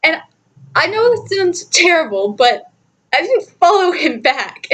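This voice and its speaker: A young adult woman telling a personal story in a casual, chatty, animated way, giggly and often interrupting herself. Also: extremely high pitch, measured pace, poor audio. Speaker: storytime vlogger.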